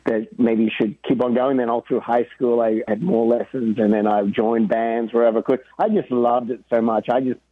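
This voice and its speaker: A man being interviewed about drumming and faith, talking in an earnest, animated way and laughing easily. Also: medium pitch, normal speed, few pauses. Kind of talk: casual interview chat. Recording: dry tinny phone-like line.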